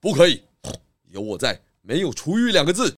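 A man's voice: fairly high pitch, sing-song, moderate pace, a bit bright, no echo, studio quality, faint music behind. An actor playing an earnest, food-loving male pig detective in a children's audio drama, with hesitant questions. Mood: determined.

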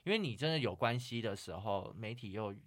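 A woman's voice: a bass voice, lively intonation, a little fast. A woman with a Taiwanese Mandarin accent chatting casually as a podcast co-host, blunt and teasing. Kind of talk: casual chat.

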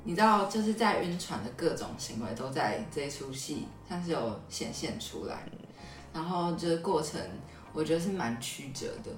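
Low-voiced Taiwanese woman podcaster in a roomy space. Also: lively intonation, moderate pace. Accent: Taiwanese Mandarin. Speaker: podcast co-host. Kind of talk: casual conversational recap and commentary. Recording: roomy echo, slightly distant mic. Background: music.

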